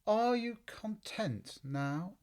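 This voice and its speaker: A woman's voice: mid-range voice, lively intonation, drawn-out words, darkish tone. A woman playing a languid, sleepy, curt and contrary caterpillar in a full-cast audiobook. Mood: contrary, disdainful.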